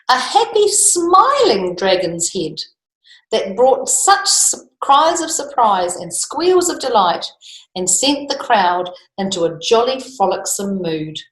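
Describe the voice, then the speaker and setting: Expressive woman reading stories in an echoey room. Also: medium pitch. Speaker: storyteller for children. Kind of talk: storybook read-aloud with chatty asides.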